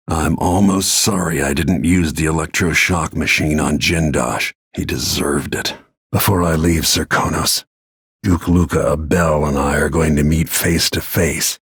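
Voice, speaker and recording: A brooding middle-aged assassin from a video game, speaks in a low, heavily rasping voice. He is terse, grim and deliberate, set on revenge. The sound is close and dry. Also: fairly bright tone, dry recording, exaggerated voice. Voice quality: gravelly, husky.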